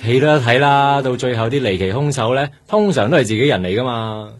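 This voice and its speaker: Actor as an eager young Hong Kong cop, slightly husky. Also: male voice, mid-range voice, fairly quick, dry recording, faint music behind, natural voice. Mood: speculating. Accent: Hong Kong Cantonese. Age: young adult. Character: eager, chatty young male police detective. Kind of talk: radio drama acting.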